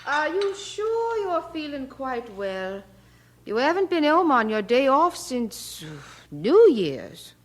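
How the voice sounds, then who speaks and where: A woman's voice: high-pitched, big pitch swings, slow pace, full character voice. An actress playing a practical, nagging London mother in a radio drama. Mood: mildly concerned. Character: fussy, practical working-class London mother.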